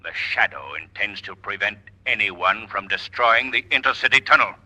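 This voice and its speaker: An actor playing an invisible male avenger who speaks in a commanding, taunting, softly menacing way, in 1940s radio style. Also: fairly low pitch, normal speed, close to an everyday voice, poor audio.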